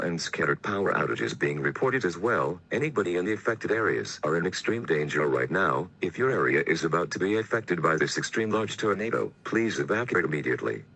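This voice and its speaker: A man's voice: deep voice, creaky, fairly bright tone, husky. A man reading scripted news and emergency bulletins as an announcer, formal and stiff.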